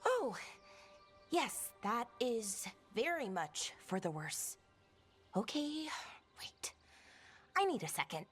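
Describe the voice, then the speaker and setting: A woman playing an excitable young elven scholar in a video game, rambling eagerly and thinking aloud. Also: a little high, slow, pauses often, soft background music. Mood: curious, excited.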